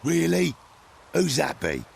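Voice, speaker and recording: A jeering street bully in a fantasy game. His voice is fairly high and heavily creaky, with coarse, mocking phrasing. Faint ambience sits behind him. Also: huge pitch swings, takes it slowly, a little hiss or hum, heavily acted. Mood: sceptical. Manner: mocking, coarse colloquial speech. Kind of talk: video game dialogue acting.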